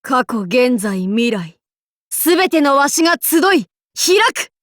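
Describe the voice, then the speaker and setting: A woman's voice: sits high, sing-song, slow, no echo, studio quality, full character voice. A woman voicing a boisterous female demon king warlord in a Japanese anime game, speaking in a haughty, archaic, theatrical way. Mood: solemn, grandiose. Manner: haughty old-fashioned lordly speech, theatrical battle cries.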